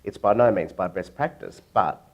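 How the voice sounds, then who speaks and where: Low-voiced man on a panel. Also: lively intonation, normal speed, highs cut off. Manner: reflective, hesitant. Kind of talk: panel discussion.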